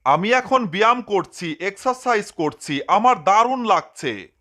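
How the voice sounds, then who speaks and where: A man's voice: high voice, lively intonation, measured pace, pushed and bright, exaggerated voice. A man playing an eccentric, scolding cat owner who declaims in a cartoon dub. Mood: dreamy, pleased.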